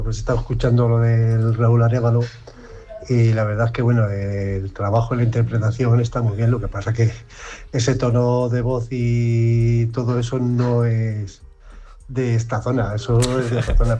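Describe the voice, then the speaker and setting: A man from a small village in Segovia giving a plain, measured opinion in a voice message. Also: mid-range voice, level tone, dark tone, highs cut off. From rural Segovia, Spain. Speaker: villager in a phone audio.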